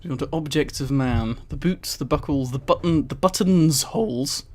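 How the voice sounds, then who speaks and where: A man's voice: a little high, moderate pace, darkish tone. A man on a headset mic, reading aloud on a casual livestream with rambling, amused asides. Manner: amused, giggly, rambling commentary. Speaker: streamer reading a book aloud to live chat.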